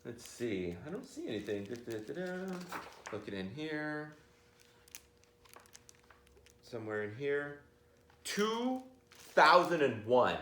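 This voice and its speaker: Guy reading a kids book out loud, super expressive pitch, jokey and informal, echoey room. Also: slow, pauses often, a little hiss or hum. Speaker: teacher reading a picture book aloud. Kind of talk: animated children's read-aloud with chatty asides.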